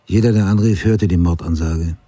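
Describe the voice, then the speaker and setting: An obsessed crime writer narrating a radio play. He is terse and restrained, with a dark, husky low voice. The recording is dry and close. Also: moderate pace, no echo. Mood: brooding, matter-of-fact. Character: adult male crime writer, obsessed and haunted, recalling his investigation.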